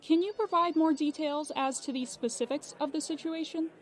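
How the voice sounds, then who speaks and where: A woman acting a polite, unflappable customer service agent in an audio drama, formal and measured. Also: high-pitched, close to an everyday voice. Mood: businesslike.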